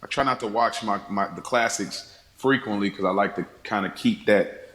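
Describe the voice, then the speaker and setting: A man's voice: fairly low pitch, normal speed, fairly bright tone. A man speaking as a guest on a podcast in casual conversation, with a roomy, echoing sound. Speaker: podcast guest, fellow podcast host. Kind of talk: casual chat.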